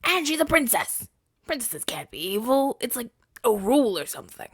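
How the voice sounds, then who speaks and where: A man's voice: very high voice, very animated, moderate pace, a bit bright, husky, no echo, full character voice. Male actor as fantasy rebel leader, earnest, defensive, exasperated, conversational stammer, audio roleplay, close and dry sound. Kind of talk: ASMR-style audio roleplay acting.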